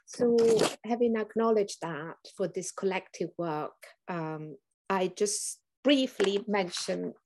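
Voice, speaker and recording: A woman with an Italian accent hosting an academic podcast, speaking thoughtfully and pausing often with ums as she searches for words. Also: medium pitch, background noise. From Northern Italy. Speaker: podcast host, anthropology professor. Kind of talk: podcast introduction.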